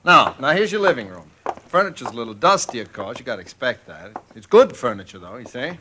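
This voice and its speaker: A man's voice: mid-range voice, big pitch swings, brisk, noisy background, natural voice. A man playing a folksy, affable real estate agent in a 1940s radio drama, with rambling salesman patter. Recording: old radio recording with loud music.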